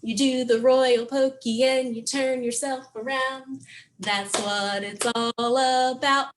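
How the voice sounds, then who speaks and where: A woman's voice: fairly high pitch, fairly even intonation, slow, background noise. A woman hosting a children's story time, explaining songs and books in simple, encouraging language for preschoolers. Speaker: children's library program host.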